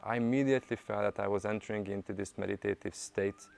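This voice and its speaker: Low, gravelly man with a flat delivery. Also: quick pace. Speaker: client giving a testimonial. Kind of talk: casual spoken testimonial.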